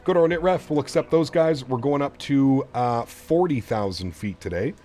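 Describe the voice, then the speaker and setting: A man's voice: medium pitch, expressive, moderate pace, darkish tone, soft background music. A man streaming a flight simulator, thinking aloud in a casual, chatty way and asking his viewers for their opinions. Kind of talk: casual live-stream commentary while programming a flight computer.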